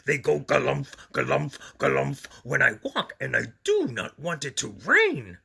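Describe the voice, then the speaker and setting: A man's voice: mid-range voice, very animated, measured pace, creaky, bright, forceful tone, breathy edge, exaggerated voice. A man voicing a little boy monster from a storybook, whiny, emphatic and easily upset. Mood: reluctant, upset.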